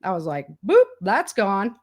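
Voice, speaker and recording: An American woman chatting casually as an interview guest, telling stories in an animated way and acting out bits of dialogue. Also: mid-range voice, a little slow, background noise. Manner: animated storytelling, quoting imagined dialogue. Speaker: guest, author.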